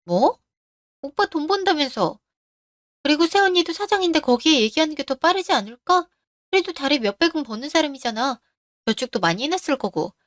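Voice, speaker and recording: A high-pitched, sharp-tongued younger sister who snaps back defensively, as a woman voices her. Her tone is selfish and prying, set close and dry for a storytelling radio drama. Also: sing-song, fairly quick, no echo.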